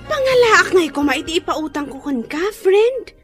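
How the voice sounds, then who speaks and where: A woman's voice: extremely high pitch, very animated, normal speed, fairly bright tone, soft background music, heavily acted. A young woman playing a friendly, chatty neighbour in a radio drama, with a Filipino accent. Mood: sympathetic.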